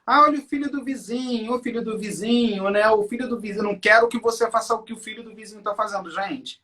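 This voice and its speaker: A man's voice: high-pitched, quick pace, sharp tone. Emphatic Brazilian man in his forties. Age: 40s. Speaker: interview guest, English teacher. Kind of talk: conversational interview, personal storytelling.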